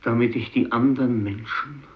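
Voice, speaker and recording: A man acting a remorseful fisherman survivor in a 1950s radio play, solemn and halting, as if praying and warning. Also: medium pitch, fairly even intonation, natural voice. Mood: remorseful, pleading. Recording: dull old radio recording.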